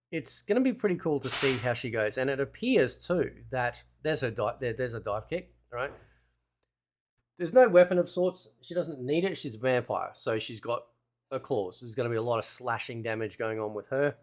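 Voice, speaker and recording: A man's voice: mid-range voice, lively intonation, normal speed. A man giving casual, conversational gameplay commentary in a laid-back, slangy way, like a video game content creator.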